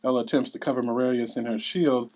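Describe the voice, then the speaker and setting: A man's voice: medium pitch, steady tone, fast talker, a little mellow, slight background noise. A man with a mid-range voice reads stage directions aloud. He delivers scene headings and action as scripted narration at a table read.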